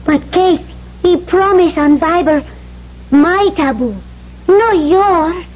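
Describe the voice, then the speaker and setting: Anxious, loyal island wife for old radio play; high voice, simple broken English, muffled recording. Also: female voice. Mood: earnest. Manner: halting pidgin English in short simple phrases. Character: devoted, fearful young island wife. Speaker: actor in supporting part. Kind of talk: old-time radio drama acting.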